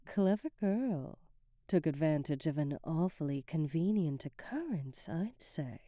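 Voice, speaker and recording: A woman's voice: low voice, animated, a little slow, mellow, no room sound, clean studio sound. A woman playing a sly, manipulative sorceress who advises a queen in an ASMR fantasy roleplay, teasing and insinuating in ornate, theatrical speech. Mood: amused, mocking.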